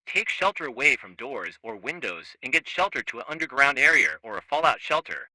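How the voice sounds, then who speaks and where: A man voicing an emergency alert broadcast voice that reads warnings in a monotone. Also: mid-range voice, fairly quick, low-quality recording. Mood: grave.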